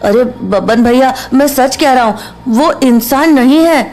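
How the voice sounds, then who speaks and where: A woman's voice: high-pitched, a little slow, darkish tone. A woman playing a frightened, earnest young village boy in a horror audio drama, hesitant and stammering. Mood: urgent, pleading.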